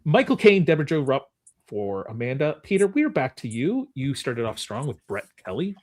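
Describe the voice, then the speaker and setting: A man hosts a casual film trivia game in a medium-pitched voice. His delivery swings animatedly up and down, and the sound is close and dry with no room around it. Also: sing-song, moderate pace, darkish tone, dry recording. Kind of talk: casual chat.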